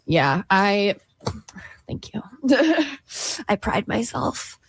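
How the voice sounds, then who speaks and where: A woman's voice: mid-range voice, sing-song, drawn-out words, creaky, husky, dry recording. A young woman co-hosting a college radio morning show, chatting casually with lots of laughs, fillers and restarts. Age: young adult. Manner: giggly, rambling, lots of likes and restarts. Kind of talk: casual chat.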